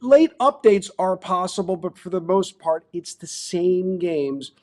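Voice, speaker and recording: A man's voice: sits high, expressive, unhurried, a little mellow. Chatty Baltimore-accented man hosting. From Baltimore, Maryland. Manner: chatty, promotional, rambling. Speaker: show host. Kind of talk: casual sports betting talk.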